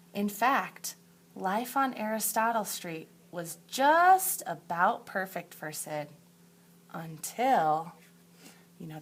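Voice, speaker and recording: A woman teacher reading a picture book aloud to young children in a deliberate, emphatic storytelling way, with asides to her pupils. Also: mid-range voice, slight background noise. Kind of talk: expressive picture-book read-aloud for second graders.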